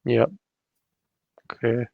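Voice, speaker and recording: A man hosting a casual live-stream, chatting in a tentative, apologetic way. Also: very slow. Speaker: host of a live tarot reading show. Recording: muffled, roomy home live-stream sound.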